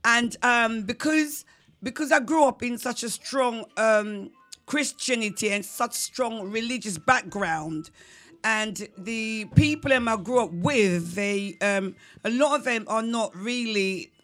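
A Jamaican woman tells an old story from her childhood in a high, bright voice. She speaks slowly and rambles warmly, breaking into snatches of song as she goes. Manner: rambling and warm, breaks into singing. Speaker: guest. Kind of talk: telling a childhood folk story.

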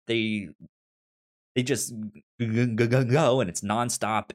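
A man co-hosting a podcast in casual chat, with a halting, informal delivery and a pronounced stutter that repeats sounds and syllables. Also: on the low side, a bit bright. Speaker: podcast co-host. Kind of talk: casual chat recommending and discussing TV shows.

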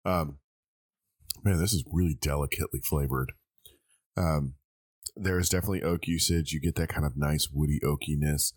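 Congested man, extremely low pitch, dry close sound, casual taster who ums a lot. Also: fairly even intonation, moderate pace, pauses often, slightly rough, dry recording. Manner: thinking aloud with many ums. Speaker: host tasting liquor blind. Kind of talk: casual tasting chat.

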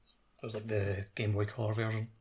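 A man's voice: lowish voice, monotone, normal speed, a little mellow, slightly rough. A man talking casually as a panelist in a podcast discussion.

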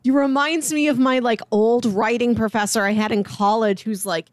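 A woman's voice: fairly high pitch, fairly even intonation, normal speed, no room sound, studio quality. A woman co-hosting a film discussion, talking casually and conversationally. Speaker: podcast co-host. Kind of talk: casual, animated chat about a film.

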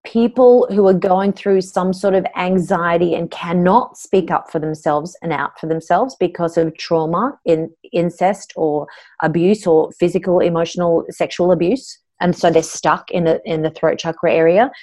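A middle-aged Australian woman speaks as an interview guest, with a voice sitting a bit low for a woman. She tells her story in an open, earnest way, as a voice coach talking about her work. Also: normal speed, darkish tone.

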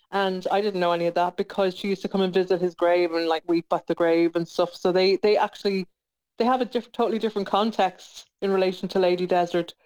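A woman's voice: mid-range voice, darkish tone, clear, resonant tone, muffled recording. A woman talking informally as a radio interview guest, chatty and often correcting herself as she goes. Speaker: interview guest, playwright and producer.

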